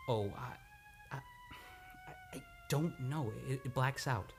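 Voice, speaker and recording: A man playing a troubled, guilt-ridden amnesiac in an old-time radio play, halting and impassioned, by turns romantic and petulant. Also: mid-range voice, faint music behind, lightly acted. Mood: halting, haunted. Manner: halting, impassioned, swinging between teasing and anguish.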